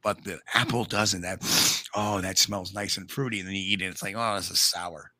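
A man with a New York accent co-hosting a casual live chat show, talking in a folksy, blunt way with drawn-out emphasis. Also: low-pitched, steady tone, pushed and bright, no echo. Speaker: co-host.

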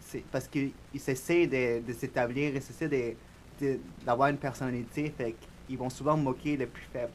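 A young man with a Spanish accent, a guest on a podcast interview, talking in a soft, reflective, shy way. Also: medium pitch, steady tone, moderate pace, slight background noise. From Spanish-speaking country. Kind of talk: casual interview chat.

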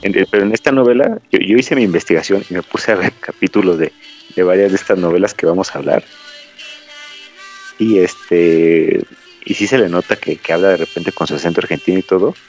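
A man's voice: deep voice, a little fast, a little mellow, soft background music. A Mexican man hosting a podcast, chatting casually and digressing as he tells stories. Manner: rambling, storytelling, digressive. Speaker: podcast host. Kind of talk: casual chat. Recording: dry, slightly dull lo-fi audio.

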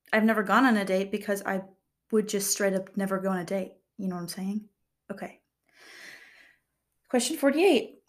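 A young woman chatting casually as she reads out quiz questions, rambling with lots of fillers and joking at her own expense. Her voice is soft and calm. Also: mid-range voice. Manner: chatty, rambling, filler-heavy, self-deprecating humor. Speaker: vlogger. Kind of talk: casual chat, reading quiz questions aloud.

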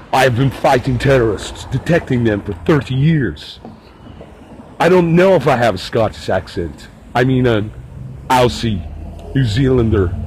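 A man's voice: medium pitch, voice pushed up, close to an everyday voice, rough recording. Brief: pompous babbling terror-expert guy , a man doing a raised voice, chirpy and pretentious, faint street hum. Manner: chirpy, pretentious, babbling self-important patter. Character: rambling self-important male counterterrorism expert.

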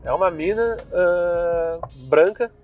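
A young man with a southern Brazilian Gaúcho accent who rambles loosely as a podcast host, full of slang and fillers. His voice sits a bit high. He talks from inside his car while faint music plays somewhere behind him. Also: takes it slowly, highs cut off. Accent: Gaúcho Brazilian Portuguese. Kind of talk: casual rambling monologue.

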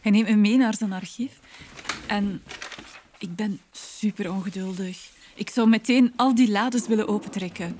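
A woman's voice: medium pitch, normal speed, noisy background. A woman with a Flemish accent narrating a personal documentary from a script, as its host.

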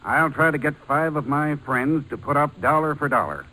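An actor playing a cautious, formal but kindly businessman in a 1940s radio drama, speaking with measured courtesy. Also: on the high side, bright, forceful tone, rough recording. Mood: decisive. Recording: old 1940s radio broadcast recording.

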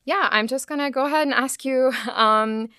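A woman's voice: sits high, moderate pace, sharp tone, smooth, dry recording, clean studio sound. A young woman from Pakistan hosting a podcast, reading a scripted introduction and then asking questions casually, with some fillers. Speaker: podcast host.

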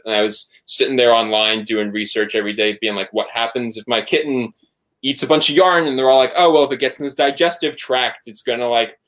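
A man's voice: fairly low pitch, a bit bright, rough recording. Guest man, loose rambling talker, casual swearing, filler-heavy. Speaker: podcast guest, band member and photographer. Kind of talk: casual conversational interview.

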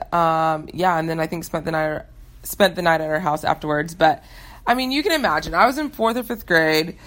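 A young American woman hosting a casual, upbeat question-and-answer show, chatting informally to listeners. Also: on the low side. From United States. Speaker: host of a Q&A show.

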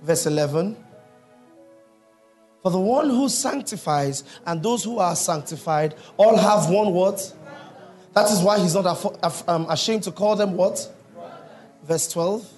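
A man's voice: high voice, a little slow, long pauses, a little mellow, soft background music. A man preaching a sermon in a church, reading scripture and quizzing the congregation. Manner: teaching, asks the congregation questions. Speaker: pastor.

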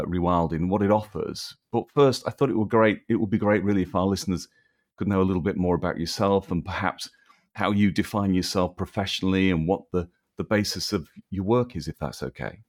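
A man's voice: deep voice, a little mellow. A man hosting a podcast, asking long, rambling, hesitant questions. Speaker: podcast host. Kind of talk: podcast interview.

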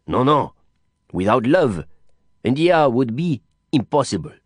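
A man's voice: medium pitch, very animated, unhurried, husky, no room sound, studio quality. A man voicing a worldly, witty Frenchman, talking in a grand, lecturing, emphatic way. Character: worldly older Frenchman, witty, theatrical, expansive.

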